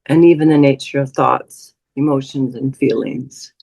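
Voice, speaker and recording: A woman leading a guided meditation as a teacher, speaking in a soothing, unhurried way with long pauses. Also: rumbling low, steady tone, creaky, darkish tone, no room sound.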